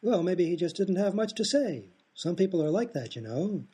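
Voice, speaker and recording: A man playing a bumbling doctor in a radio comedy, formal, husky and politely oblivious. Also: high voice, big pitch swings, normal speed, dark tone, heavily acted.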